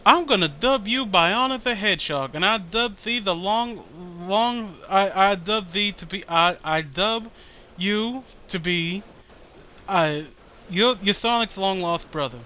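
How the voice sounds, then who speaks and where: A man's voice: high voice, measured pace, sharp tone. A man co-hosting a casual comic read-along, rambling and exasperated, with frequent swearing. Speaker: co-host reading a webcomic. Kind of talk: casual comic riffing and commentary.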